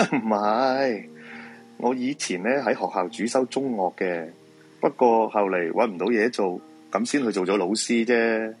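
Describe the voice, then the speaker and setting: Guy playing tired feng shui master, low hong kong cantonese voice, talks people round, radio play with faint music. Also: measured pace, lightly acted. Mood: wry, placating. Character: weary, persuasive male feng shui master, former music teacher. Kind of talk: live supernatural radio drama acting.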